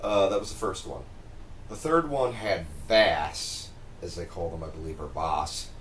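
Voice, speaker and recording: A man with a fairly low voice chats casually as a podcast co-host. He sounds set back from the microphone in an echoey room. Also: unhurried, fairly bright tone, recorded from a distance. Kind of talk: casual chat about games and films. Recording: echoey room, off mic, faint noise.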